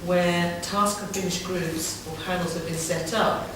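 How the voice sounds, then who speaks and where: A woman's voice: on the low side, steady tone, a little slow. A woman councillor putting formal questions off the cuff at a committee meeting, in wordy, rambling phrases. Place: large town hall meeting room. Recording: very echoey hall, distant mic, clear background noise.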